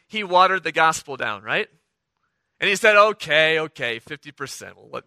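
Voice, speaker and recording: A middle-aged man preaching a sermon, hoarse from the flu, talking conversationally and often checking in with the congregation. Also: sits high, bright, forceful tone, no echo. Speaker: pastor.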